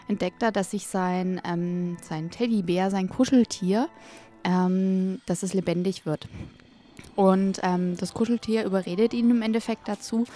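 Casting: female co-reviewer, soft mellow tone, casual and hesitant, music playing underneath. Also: medium pitch. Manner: hesitant, searching for words. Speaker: co-reviewer, guest on a game review. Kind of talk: casual unscripted commentary. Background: music under a voice-over.

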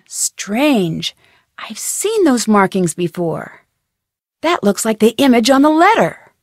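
Curious young detective heroine, dry close recording. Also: female voice, fairly high pitch, no echo. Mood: curious, musing. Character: young female amateur detective, curious, upbeat, sensible. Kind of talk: video game voice acting, scripted lines and letter narration.

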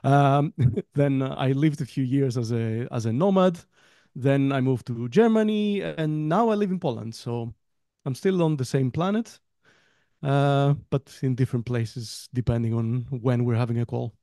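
A man's voice: medium pitch, unhurried, dark tone, no room sound, studio quality. A man in his thirties from Greece, talking casually as a guest trainer in a webinar, rambling a little and laughing between phrases. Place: home room, on a video call. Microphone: headset with desk mic.

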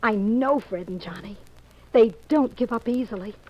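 Actress as anxious genteel woman, somewhat high pitch, fretful, vintage radio mystery, muffled recording. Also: moderate pace, natural voice. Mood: grateful, uneasy. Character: anxious, polite married woman fearing danger aboard ship. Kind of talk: mid-century radio drama acting. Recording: dull old radio recording.

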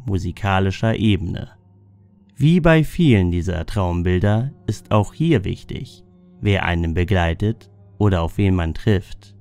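A man reading scripted explanatory narration in a measured, solemn manner. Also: sits low, vocal fry, darkish tone. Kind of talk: scripted narration of a dream-symbol lexicon. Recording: music bed under the voice. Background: background music.

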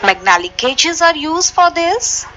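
A woman's voice: very high-pitched, very animated, slow pace, muffled recording, background noise. A woman giving a step-by-step technical lesson as a voice-over, talking straight to her listeners. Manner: addresses listeners directly, step by step. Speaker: instructor. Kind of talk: slide-based technical lesson. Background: background music.

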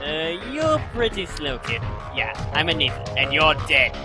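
A man's voice: on the high side, big pitch swings, normal speed. A man voicing a cocky, menacing villain with a sneering, theatrical delivery in an anime parody dub. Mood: taunting. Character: cocky male thread-wielding villain, petty and menacing. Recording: loud music behind.

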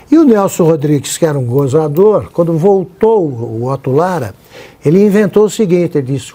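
Mocking Brazilian man with a dark tone. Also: high-pitched. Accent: Brazilian Portuguese. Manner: mocking, storytelling. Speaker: commentator. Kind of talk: opinionated news commentary.

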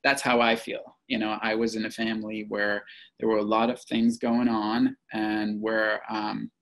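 Creaky-voiced man. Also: on the low side, flat delivery, measured pace, fairly bright tone, poor audio. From French-speaking Belgium. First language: French. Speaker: podcast guest, psychotherapist. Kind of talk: reflective interview answers.

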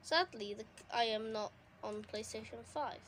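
A young woman giving casual, excitable commentary while playing a console game, her voice going a bit hoarse. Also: on the high side, moderate pace, slight background noise. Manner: chatty, excitable, reacting to the game. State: losing her voice. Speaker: gaming streamer. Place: indoors at a console. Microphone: phone filming a TV screen.

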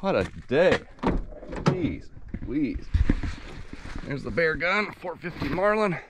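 Relaxed man, slightly hoarse, vlogging outdoors. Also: a little high, takes it slowly, background noise. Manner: relaxed, upbeat, many fillers. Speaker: sawmill owner vlogging his workday. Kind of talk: casual outdoor vlog. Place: outdoors on a boat and dock by a calm bay. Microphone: action camera held at arm's length.

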